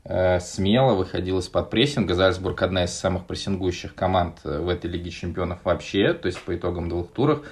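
A man's voice: low voice, brisk, hardly any pauses. A man hosting a football podcast, talking casually through match analysis with frequent hesitations. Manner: hesitant, many "э" fillers. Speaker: podcast host.

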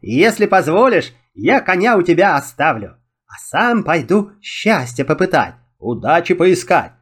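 Casting: plucky folk-tale Cossack, read by a man, high-pitched, cheerful and plain-spoken, very animated. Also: a little slow, slightly rough, heavily acted. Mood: hearty, flirtatious. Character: bold, cheerful, free-spirited Cossack hero, plain-spoken.

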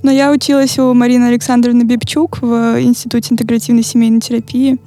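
A woman co-hosting a casual podcast chat as a family therapist, with brief, agreeable replies and measured explanations. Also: on the high side, flat delivery, warm tone, faint music behind.

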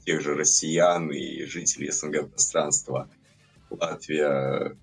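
A young man from Latvia speaks as a podcast guest, his voice extremely deep with a husky grain. He rambles loosely, padding his points with hedges and fillers. It's an easy, casual chat. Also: level tone, slow, soft background music.

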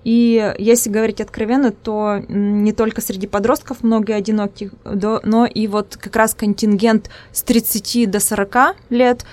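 A woman's voice: mid-range voice, little pitch movement, moderate pace, few pauses, darkish tone. Woman host with an Udmurt accent. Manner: hesitant, searching for words with many restarts. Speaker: podcast host. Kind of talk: casual podcast interview.

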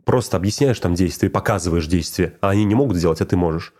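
A man's voice: sits low, fast talker, a little mellow. A man talking casually and reflectively, often repeating phrases for emphasis. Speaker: teacher of speech exercises.

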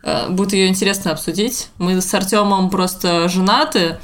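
A woman's voice: medium pitch, fairly even intonation, normal speed, a bit bright. A woman in her mid twenties co-hosting a podcast, talking casually among friends. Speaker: podcast co-host, illustrator. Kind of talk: casual group podcast chat.

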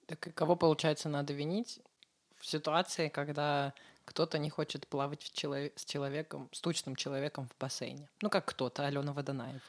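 A woman's voice: low-pitched, flat delivery, a little fast. A woman co-hosting a casual podcast, interviewing her partner with brief, probing questions.

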